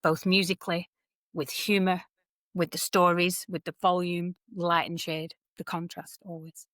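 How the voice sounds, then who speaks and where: A woman's voice: lowish voice, unhurried, no room sound, studio quality. A woman in her forties from the UK, a guest entertainer talking candidly in an interview and acting out quoted voices. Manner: candid and animated, quotes others in lively voices. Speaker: guest entertainer and talent mentor.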